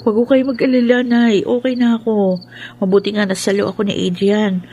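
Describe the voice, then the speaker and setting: A woman's voice: medium pitch, flat delivery, brisk, warm tone, dull audio, slight background noise, lightly acted. A woman playing a polite, reassuring young Filipino daughter in a radio drama, talking respectfully and conversationally.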